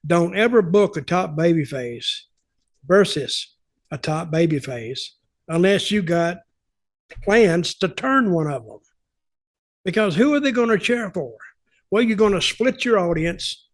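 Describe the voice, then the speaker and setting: An older man telling stories casually on a podcast, blunt and opinionated, repeating points for emphasis. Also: high voice. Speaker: podcast host, veteran wrestling booker.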